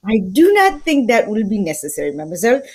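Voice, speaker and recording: A woman playing a fussy, courtly Belgian male detective in a radio mystery, speaking formally and deliberately, with theatrical precision. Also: mid-range voice, huge pitch swings, darkish tone, slightly rough. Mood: precise, courteous. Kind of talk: old-time radio mystery script reading.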